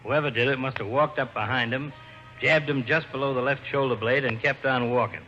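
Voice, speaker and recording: Police lieutenant, male actor: American, earnest, businesslike, forceful tone, dull old radio sound. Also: mid-range voice, fairly even intonation, fairly quick, soft background music, close to an everyday voice. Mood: worried, serious. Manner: businesslike, clipped, worried. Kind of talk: 1940s-50s American radio acting. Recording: muffled, hissy old radio recording.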